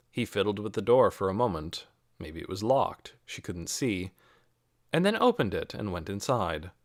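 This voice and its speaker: Male audiobook narrator for children's fiction, expressive and lively in pitch, very dry recording. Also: no echo. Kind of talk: scripted narration of a children's novel.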